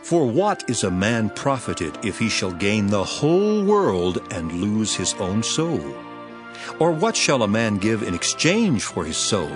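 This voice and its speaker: An actor playing a solemn, authoritative teacher and master in a dramatized scripture, declaiming deliberately. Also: male voice, on the low side, big pitch swings. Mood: solemn, instructive. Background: music.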